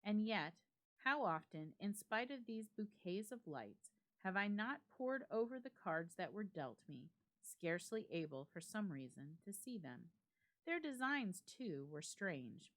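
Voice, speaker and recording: A woman giving a dramatic reading of a classic ghost story, with measured, expressive phrasing and deliberate pauses. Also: mid-range voice. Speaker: library staff member reading a story aloud.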